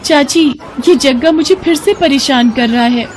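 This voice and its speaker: A woman's voice: high voice, normal speed, a little mellow, a little hiss or hum, voice pushed up, lightly acted. An innocent, troubled young girl played by a woman speaks earnestly in a raised, plaintive voice. She sounds like the put-upon heroine of a cartoon bedtime tale. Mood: distressed. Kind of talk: animated moral story voice acting.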